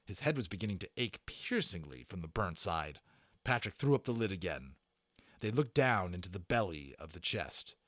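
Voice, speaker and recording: Male audiobook narrator, dramatic children's storytelling, highly animated delivery, dry recording. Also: normal speed, no room sound.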